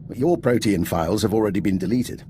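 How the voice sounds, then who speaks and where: An actor playing a composed, calculating, self-serving older scientist who speaks formally and precisely in a video game. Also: male voice, lowish voice, fast, mellow, a little hiss or hum, close to an everyday voice. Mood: smooth, calculating.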